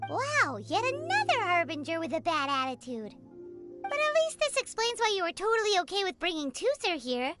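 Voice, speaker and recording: A woman voicing a tiny, nosy fairy companion in a video game, excitable and blunt. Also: very high-pitched. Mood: skeptical. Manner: excitable, blunt, quick to comment. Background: game music.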